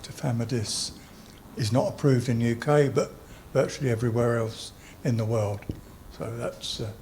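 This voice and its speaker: Male patient panelist, warm dark tone, faint background noise. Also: mid-range voice, steady tone, moderate pace, poor audio. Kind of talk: panel discussion remarks.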